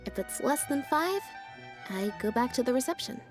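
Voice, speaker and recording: Chill deadpan young magician's assistant girl from a video game, matter-of-fact, bit of music under it. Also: high-pitched, normal speed. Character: laid-back, deadpan young female stage magician's assistant. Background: game background music.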